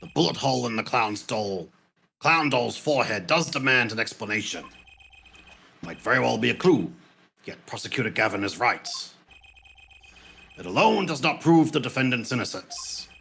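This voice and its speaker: A man voicing a solemn, grandfatherly old judge who makes pompous, deliberate pronouncements in a live game fan dub. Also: mid-range voice, expressive, vocal fry, sharp tone, slight background noise. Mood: solemn, deliberating. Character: elderly grandfatherly male judge, solemn yet easily impressed.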